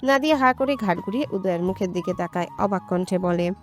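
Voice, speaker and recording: A woman's voice: medium pitch, fast talker, soft background music. A woman storyteller reading a romantic story as scripted, dramatic narration.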